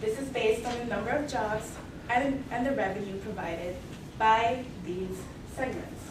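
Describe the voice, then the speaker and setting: A young woman from Mexico and Belize giving a formal, rehearsed student case presentation in a measured way. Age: young adult. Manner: measured, formal pitching with repeated "moving right along". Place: large echoey hall, heard from afar. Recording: distant echoey hall recording with noise.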